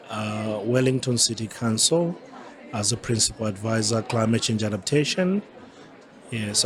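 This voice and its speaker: Southern African man amid crowd chatter. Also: mid-range voice. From Zambia. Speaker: interview guest, climate change adaptation advisor. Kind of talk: spoken interview answers. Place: busy festival venue.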